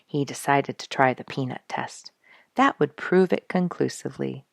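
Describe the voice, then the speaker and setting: A woman reading a children's animal story aloud in an expressive, dramatic storyteller's manner. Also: rumbling low, moderate pace, gravelly, dry recording, clean studio sound. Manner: dramatic, rhetorical questioning delivery.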